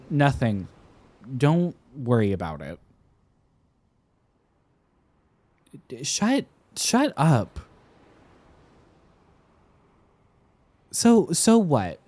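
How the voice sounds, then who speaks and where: A man's voice: medium pitch, dry recording. A young man playing a tender, flustered college-age boyfriend in an intimate romantic roleplay, with hesitant, stammering delivery. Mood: shy, flustered. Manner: hesitant, stammering, soft-hearted.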